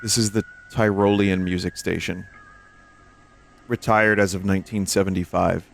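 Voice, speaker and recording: A man's voice: low-pitched, little pitch movement, normal speed, gravelly, soft background music. A man hosting a livestream, reading text aloud and commenting casually. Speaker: streamer and host.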